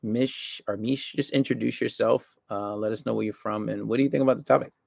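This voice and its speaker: A man's voice: lowish voice, fairly even intonation, brisk, gravelly, a little mellow. A man hosting a talk show, speaking casually and bluntly, giving opinions in coarse language.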